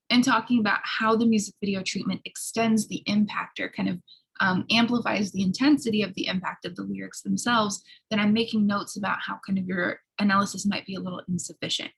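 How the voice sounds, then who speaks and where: A woman who sounds a little hoarse, giving an explanatory college writing lecture in an instructional way, often checking in with short tag questions. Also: mid-range voice, low-quality recording. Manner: instructional, frequent checking tags like "right?". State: slightly hoarse.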